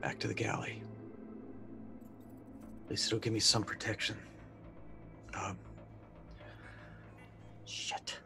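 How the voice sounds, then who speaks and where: Low-voiced middle-aged man playing a tabletop game. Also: level tone, slow, creaky, pauses often. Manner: hesitant, muttering while thinking. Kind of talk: casual improvised roleplay talk. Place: indoor room, online video call. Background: faint music.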